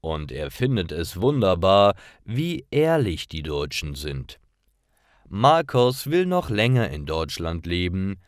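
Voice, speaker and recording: A man with a low voice reads learner stories as a narrator. He takes his time, enunciating each phrase, and his pitch moves expressively up and down. The recording is dry. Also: sing-song, slow, dry recording. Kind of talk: scripted storybook narration for learners.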